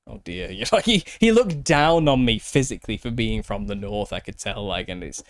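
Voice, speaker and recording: A man's voice: lowish voice, expressive, fairly quick, no room sound. A man with a Lancashire accent from northwest England chats casually as a podcast host, rambling and self-deprecating. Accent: Northern English, Lancashire. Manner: chatty, rambling, self-deprecating, laughs often. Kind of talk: casual chat.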